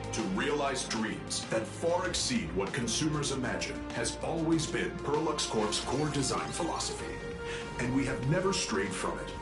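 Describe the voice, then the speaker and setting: An actor playing a proud, courteous corporate representative in a video game, with a polished, formal way of speaking. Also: male voice, medium pitch, lightly acted. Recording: roomy echo, music behind.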